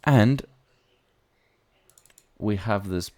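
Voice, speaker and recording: A man's voice: on the low side, very slow, mellow. A man who is not a native English speaker gives casual gameplay commentary as a let's play host, thinking aloud with playful asides.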